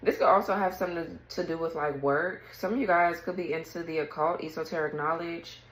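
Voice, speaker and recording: A woman with a raspy morning voice, giving a casual tarot reading to camera, chatty and often clearing her throat. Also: low voice, steady tone, brisk, echoey room, poor audio. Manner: chatty, clears throat often, reads card texts aloud. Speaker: tarot reader.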